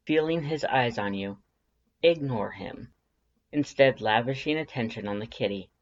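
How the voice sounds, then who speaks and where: Guy reading a fanfic audiobook, medium pitch, storytelling style, audio a little dull. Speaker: fan fiction audiobook narrator. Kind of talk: scripted second-person story narration.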